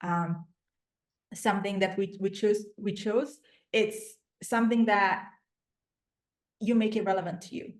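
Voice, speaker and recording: A woman's voice: mid-range voice, fairly even intonation, measured pace, long pauses. A woman with an Italian accent, speaking as a language coach in an encouraging, conversational interview style. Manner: encouraging, affirming.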